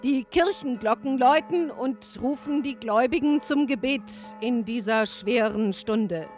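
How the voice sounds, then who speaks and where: An actress playing a grave field reporter in a radio play, delivering a solemn, dramatic news report on a catastrophe. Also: female voice, high voice.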